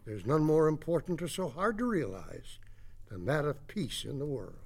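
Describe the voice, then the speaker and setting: A man's voice: on the high side, big pitch swings, moderate pace, gravelly, darkish tone, breathy edge, full character voice. An actor playing an older, courtly speechwriter in an audio drama, pompous and ornate in his old-fashioned diction. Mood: grandly pompous.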